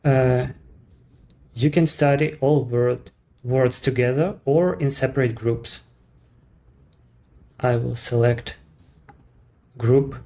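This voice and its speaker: A man speaking English with a Ukrainian accent, his voice sitting at an ordinary middle pitch. He explains each step of a screen-recorded software walkthrough in a measured, unhurried way, with the odd non-native turn of phrase. He is a native Ukrainian speaker presenting his own service.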